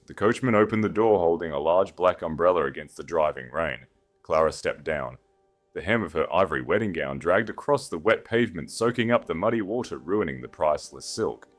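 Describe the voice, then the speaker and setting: Deep-voiced, expressive male story narrator. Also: soft background music. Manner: measured, literary, solemn phrasing. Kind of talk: dramatic scripted audiobook narration.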